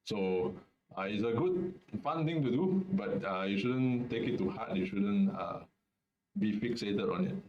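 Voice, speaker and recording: A young man from Singapore hosts a student webinar in a casual, halting way, full of fillers. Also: fairly low pitch, lively intonation, echoey room, muffled recording. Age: young adult. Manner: hesitant, many fillers and restarts. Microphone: video call.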